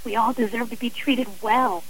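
A woman's voice: fairly high pitch, fairly even intonation, normal speed, rough recording. A woman speaking as an interview guest on radio, earnest and emphatic, explaining with examples and repeated phrasing. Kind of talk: radio interview, personal and advisory talk.

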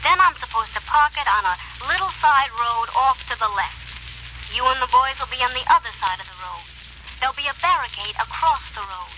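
Sharp, bright gangster's moll. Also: female voice, high-pitched, animated, fairly quick. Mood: obliging, assured. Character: gangster's young female accomplice, compliant and assured. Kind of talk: 1940s radio acting. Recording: dull, faintly noisy old radio recording.

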